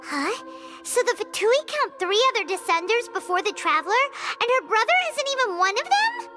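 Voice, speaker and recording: An extremely high, bright voice a tiny childlike fairy companion in an anime video game. She is excitable, curious and dramatic, leaping around in pitch and exclaiming freely. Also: moderate pace, soft background music, heavily acted. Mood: puzzled. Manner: speaks of herself in third person, exclamatory.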